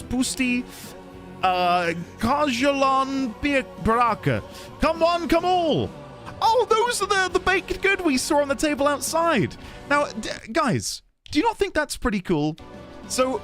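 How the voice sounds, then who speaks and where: A man live-streaming a video game, chatting excitedly with viewers, thanking them and stumbling over restarts as he talks. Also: sits high. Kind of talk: casual live-stream chat and commentary.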